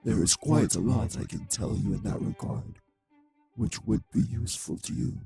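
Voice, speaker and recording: A man playing a cruel, taunting male vampire in an audio drama, with a husky, gravelly voice and a slow, mockingly polite manner. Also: on the low side, animated, warm tone, faint music behind, heavily acted. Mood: smug taunting. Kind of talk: scripted audio roleplay drama.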